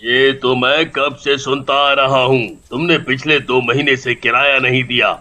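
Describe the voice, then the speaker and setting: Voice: medium pitch, level tone, unhurried, bright, forceful tone. A performer voicing a harsh, impatient male landlord in a moral story, scolding and threatening with contempt. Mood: angry, threatening. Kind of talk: animated moral story villain acting.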